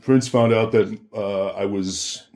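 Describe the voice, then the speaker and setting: Middle aged american dude on a podcast, low voice, casually telling stories and doing the quotes. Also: male voice, fairly even intonation, slow, darkish tone. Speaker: podcast guest. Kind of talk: casual anecdotal storytelling.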